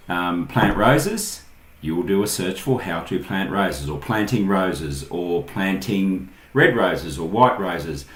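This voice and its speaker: Low-voiced Australian man in an echoey room. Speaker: online marketing tutorial host. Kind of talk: informal screen-share tutorial, explaining step by step. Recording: echoey, roomy sound.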